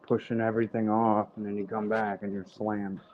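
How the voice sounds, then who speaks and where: A man's voice: lowish voice, flat delivery, a little fast, a little hiss or hum. Wisecracking man on a dull lo-fi line. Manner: joking, swears casually. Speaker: livestream co-host. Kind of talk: casual livestream chat.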